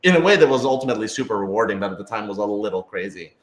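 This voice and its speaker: A man's voice: fairly low pitch, animated, rapid-fire. A man speaking as a radio drama creator, answering listener questions in a casual, conversational way with a hedging style. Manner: conversational, hedging with lots of "like" and "sort of". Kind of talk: casual live Q&A chat. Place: indoor room with other people. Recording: roomy, echoey room sound.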